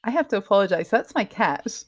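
Soft-spoken woman with a mixed English accent. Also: animated, brisk. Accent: mixed, mostly English with some Scottish. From Scotland. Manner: soft lowered tone, laughs often, many ums. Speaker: podcast host, guest. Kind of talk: casual interview conversation.

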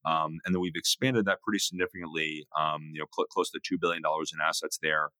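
A man with a very low voice, speaking in a casual interview with many fillers and restarts. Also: steady tone, quick pace, bright, forceful tone, clear, resonant tone, no room sound. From New York area. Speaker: guest, music investor.

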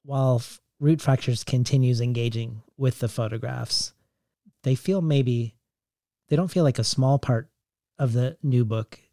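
A man interviewing a poet, asking a long, reflective question in a measured, hedging way. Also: mid-range voice, mellow, no room sound, clean studio sound. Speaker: interviewer, podcast host.

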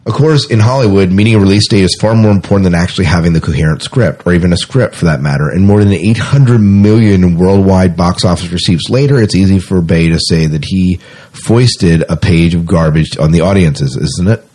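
A man's voice: sits low, fairly quick, warm tone. A man co-hosting a casual podcast, talking in a blunt, opinionated way and sometimes reading news items aloud. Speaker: podcast co-host.

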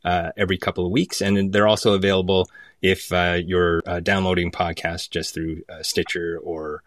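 A man's voice: low-pitched, level tone, normal speed, fairly bright tone, no room sound. A man giving a conversational interview as a guest, thoughtful and searching for his words. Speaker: podcast guest from a theatre company.

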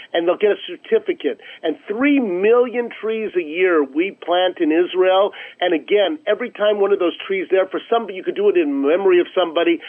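Phone-line audio, high-voiced man, emphatic, repetitive phrasing, promotional interview guest. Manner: emphatic, repeats phrases for effect. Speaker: charity chief executive, interview guest. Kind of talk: promotional radio interview talk. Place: remote call into a radio show. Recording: narrow, tinny phone line.

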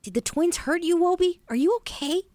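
A woman's voice: very high voice, normal speed, warm tone, no room sound. A woman playing a caring, alert young girl adventurer in a family audio drama. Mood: caring, bright.

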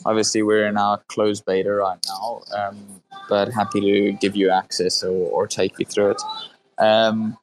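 A man's voice: on the low side, flat delivery, a little slow, faint music behind, rough recording. A man with a South African accent hosting a casual online audio chat, talking loosely with many fillers and restarts. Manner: filler-heavy, restarts often. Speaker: host. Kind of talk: casual Twitter space chat.

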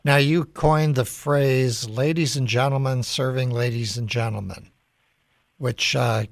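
A man's voice: mid-range voice, steady tone, measured pace, no room sound. Male radio host, conversational. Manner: conversational, hesitant fillers when asking. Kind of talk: radio interview hosting.

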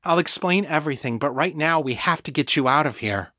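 A man's voice: a little high, lively intonation, a little fast, sharp tone, dry recording, clean studio sound, pitched above the performer's own voice. A man voicing an anxious woman in an audiobook story, earnest, urgent and hesitant as a pleading friend.

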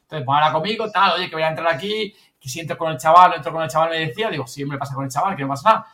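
Guy from spain chatting away super fast on a podcast, bit far from the mic, tells stories real animated. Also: fairly high pitch. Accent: Spanish (Spain). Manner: animated storyteller, colloquial, swears casually, quotes others. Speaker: podcast host. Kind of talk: casual anecdote telling among colleagues.

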